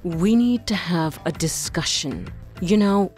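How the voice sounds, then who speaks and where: A woman playing a weary, cautious, pragmatic adviser in a fantasy audio drama, speaking in a measured, insistent way. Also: on the low side, lively intonation, darkish tone, soft background music. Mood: exasperated, insistent.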